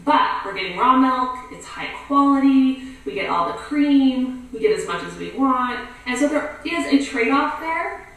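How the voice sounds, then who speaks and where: A woman's voice: high voice, animated, measured pace, hardly any pauses. A woman homesteader talking candidly to camera about her own farm life. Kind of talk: casual talk to camera. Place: living room with leather couch. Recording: roomy, from a distance.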